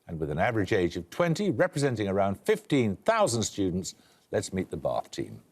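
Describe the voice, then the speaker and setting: A man hosting a television quiz show, reading questions and introductions in a crisp, dry, authoritative way with wry asides. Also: on the low side, wildly swooping pitch, fairly quick, darkish tone. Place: television studio.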